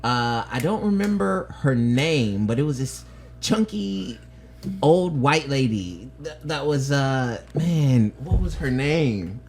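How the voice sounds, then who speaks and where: A man's voice: mid-range voice, expressive, slow, a little mellow. A man co-hosting a podcast, telling rambling stories in casual chat. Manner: rambling storyteller, trails off and restarts. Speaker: podcast co-host.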